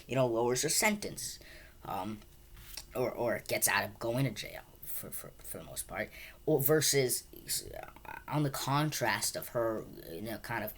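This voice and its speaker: Female film reviewer, extremely low pitch, casual and unscripted, halting delivery full of repeated words. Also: animated, breathy edge. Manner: halting, rambling, repeats words and restarts sentences often.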